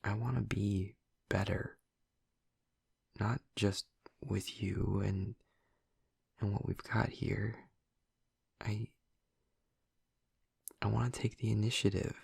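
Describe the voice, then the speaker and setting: A man playing a gentle, earnest vampire boyfriend in an intimate audio roleplay, speaking softly and haltingly in a husky, breathy, hushed voice. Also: lowish voice, level tone, no echo, clean studio sound, exaggerated voice. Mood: earnest, resolute. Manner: halting, soft-spoken, confiding with long pauses. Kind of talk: intimate audio roleplay, ASMR-like soft delivery.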